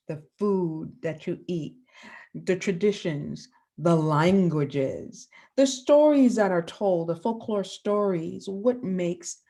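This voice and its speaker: A woman teacher from the Bahamas giving a lesson to children, asking the class questions and pausing for answers. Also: on the low side, dark tone.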